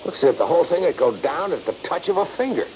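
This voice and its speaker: An actor playing a cautious, anxious young Union soldier in a radio drama, wary and questioning. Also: male voice, on the high side, big pitch swings, racing. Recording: dull, hissy 1970s radio recording.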